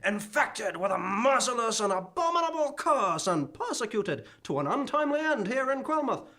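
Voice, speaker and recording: Man voicing ghost, very high voice, bright, chatty, chuckling, live stage radio play. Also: sing-song, normal speed, heavily acted. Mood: jaunty. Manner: cheerful, rambling, chuckling. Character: chatty ghost of a male former professor. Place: theatre stage before a live audience.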